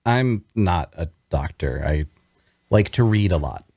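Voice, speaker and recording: A man's voice: low-pitched, expressive, a little slow, mellow, dry recording, studio quality, exaggerated voice. A man playing a wounded, bookish prisoner in an audio drama table read, wary and inquisitive, with a hesitant, questioning delivery. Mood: guarded.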